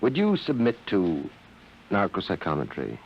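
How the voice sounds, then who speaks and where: Low-voiced, measured army psychiatrist. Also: male voice, wildly swooping pitch, full character voice. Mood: calm, clinical. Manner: measured, formal, questioning. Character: calm, probing male army psychiatrist. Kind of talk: 1950s radio drama acting. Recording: dull, noisy 1950s radio recording.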